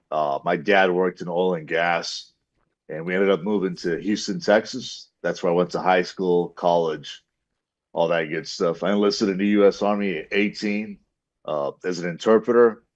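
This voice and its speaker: Man from the UAE, very deep voice, hesitant and modest, dull dry muffled audio. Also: fairly even intonation, normal speed, no room sound. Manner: modest, hesitant, many uh fillers. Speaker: guest. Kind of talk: casual interview talk.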